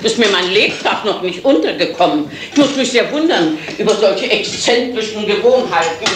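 An actress playing a grumpy, proud older housekeeper in a 1970s German radio crime drama, scolding indignantly. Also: female voice, medium pitch, lively intonation, normal speed, close to an everyday voice. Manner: scolding, huffy. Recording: echoey, noisy old radio recording.

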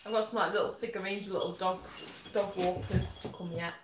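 Dry-humoured woman, a bit high, off-mic in an echoey noisy room. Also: fairly even intonation, a little fast, recorded from a distance. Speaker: vlogger. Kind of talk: casual live-stream chat. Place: living room, indoors.

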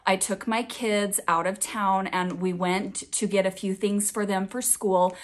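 A woman in her thirties, a fashion vlogger, giving a chatty, upbeat budget update while her voice is still a little raspy from being sick. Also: mid-range voice, fairly even intonation, measured pace. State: slightly raspy, scratchy voice from recent illness. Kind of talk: casual budget update vlog.

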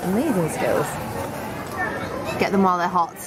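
A woman's voice: fairly low pitch, moderate pace. A woman in her thirties presenting a casual vlog to camera. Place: outdoors in a busy theme park. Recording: outdoor vlog, crowd noise, loud music.

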